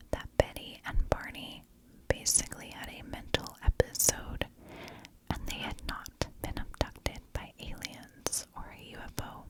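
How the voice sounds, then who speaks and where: A woman whispers her way through an ASMR true crime narration. She takes it very slowly, phrasing softly and deliberately with gaps between short phrases. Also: poor audio. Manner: soft, unhurried, deliberate phrasing with pauses.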